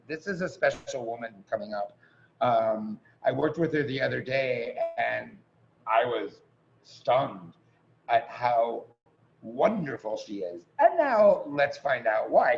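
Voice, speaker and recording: A man hosting a live online event, introducing performers in a halting, effusive and casual way over a video call. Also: mid-range voice, vocal fry. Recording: dull noisy video call audio.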